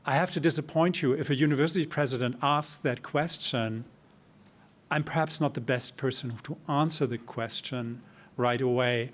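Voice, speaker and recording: A middle-aged man giving an academic lecture, speaking in a measured, emphatic way with pauses, then answering audience questions. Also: mid-range voice. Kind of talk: academic talk and Q&A. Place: lecture hall.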